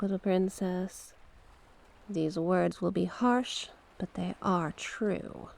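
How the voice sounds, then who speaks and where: A woman playing a mysterious banished sea witch in an ASMR roleplay, coaxing and knowing, with a teasing, deliberate manner. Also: lowish voice, a little mellow. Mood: gentle, persuasive. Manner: slow, teasing, deliberate, coaxing with pet names. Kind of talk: ASMR character roleplay monologue.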